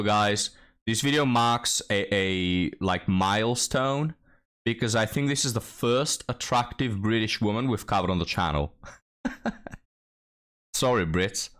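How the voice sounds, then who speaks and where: Brief: European man, reaction host, lower pitch, sarcastic commentary addressed to "guys". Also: a little slow, a bit bright. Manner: sarcastic, mocking asides to viewers.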